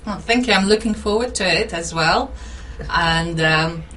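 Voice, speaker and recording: Latvian-accented woman, earnest and halting. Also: lowish voice, pushed and bright, recorded from a distance, a little hiss or hum. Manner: earnest, halting, nervous laughter. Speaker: guest. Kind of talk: radio interview.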